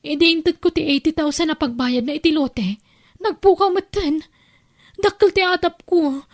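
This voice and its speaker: A high-voiced actress playing a trusting older sister in an Ilocano radio drama. She speaks with an Ilocano accent and an emotional, pleading manner, as a hardworking woman who has been deceived. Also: normal speed. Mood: anxious, upset.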